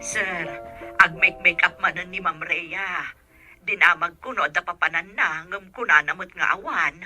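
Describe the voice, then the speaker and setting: Actress for overworked, grumbling maid in Filipino radio drama; piercingly bright, talkative, plaintive delivery. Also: female voice, lowish voice, unhurried, faint music behind. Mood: complaining. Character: weary, complaining household maid and nanny.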